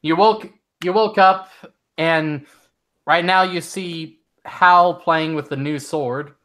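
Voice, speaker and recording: A young man acting as game master, narrating a casual tabletop session in halting speech with many restarts. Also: on the high side, steady tone, pushed and bright.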